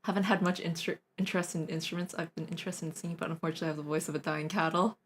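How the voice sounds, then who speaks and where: A woman's voice: low-pitched, little pitch movement, rapid-fire, a little hiss or hum. A young woman streaming a casual recorder lesson, rambling and self-deprecating, with frequent laughs. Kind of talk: casual live-stream chat.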